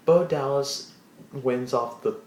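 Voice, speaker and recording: A man giving a casual solo wrestling show review, rambling with restarts and animated asides in a deep voice. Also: takes it slowly, reverberant, slight background noise, rough recording.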